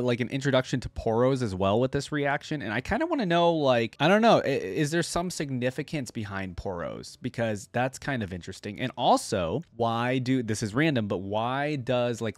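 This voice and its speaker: A man's voice: mid-range voice, dry recording. A man hosting a reaction video, giving casual off-the-cuff commentary in a musing, conversational way.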